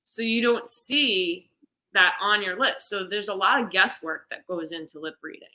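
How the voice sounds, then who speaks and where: Very bright-voiced American woman, casual explainer. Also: medium pitch, normal speed, poor audio. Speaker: guest, sign language interpreter and theater stage manager. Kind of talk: casual explanatory interview chat.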